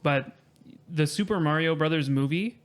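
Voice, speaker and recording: A man's voice: fairly high pitch, moderate pace. A man chatting casually as a panelist on a podcast. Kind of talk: casual chat.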